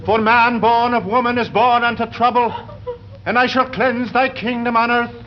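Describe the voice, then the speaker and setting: An actor playing a religious maniac killer, declaiming in chanting tones in a 1940s radio drama. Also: male voice, very high voice, flat delivery, moderate pace, slight background noise. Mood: exalted menace. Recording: muffled old radio recording, music behind.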